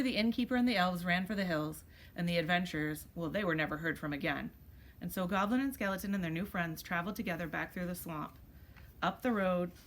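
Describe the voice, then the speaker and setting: A woman's voice: lowish voice, a bit bright, slight background noise. A woman teacher reading a children's storybook aloud expressively.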